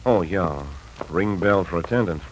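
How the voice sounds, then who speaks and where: Actor as a lowish-voiced, earnest young husband. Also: male voice, normal speed, a little hiss or hum, lightly acted, rough recording. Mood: eager. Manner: hesitant, earnest, folksy. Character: boyish, anxious, affectionate young working husband. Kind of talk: 1940s radio acting. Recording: vintage radio recording with music.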